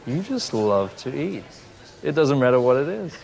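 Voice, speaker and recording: A teasing, pretentious food judge. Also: male voice, mid-range voice, big pitch swings, normal speed, vocal fry, crowd noise. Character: pretentious young male food critic, teasing. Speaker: food judge, globe-trotting gourmand. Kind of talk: film dialogue. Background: background music.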